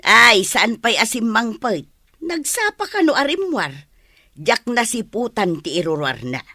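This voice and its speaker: A woman plays a disapproving, protective village mother in a radio comedy. Her voice is fairly high and piercing, emphatic and grumbling, recorded dry. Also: sing-song, unhurried, bright, forceful tone, no room sound, exaggerated voice. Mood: suspicious. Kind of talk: Ilocano radio comedy drama acting.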